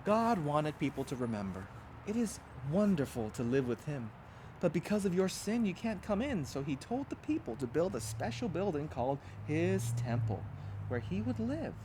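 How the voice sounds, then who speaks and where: A man's voice: on the high side, big pitch swings, moderate pace, warm tone, slight background noise. A man reading a children's storybook aloud as a pastor, expressively and dramatically, with simple emphatic phrasing and asides to young listeners.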